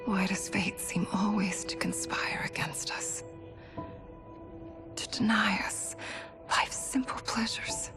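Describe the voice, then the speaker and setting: Woman voicing a regal warrior queen, slow soft earnest lines, game music behind. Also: mid-range voice, steady tone, in a whisper, close to an everyday voice. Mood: wistful. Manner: soft, earnest, often hushed. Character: regal female warrior queen, proud but weary. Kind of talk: video game cutscene acting. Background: dramatic game score.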